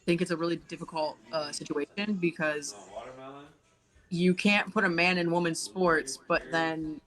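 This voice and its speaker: Bright-toned man giving his view. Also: on the high side, fairly even intonation, unhurried, poor audio. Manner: thoughtful, hedging, with soft laughs. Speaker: co-host. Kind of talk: casual live commentary chat.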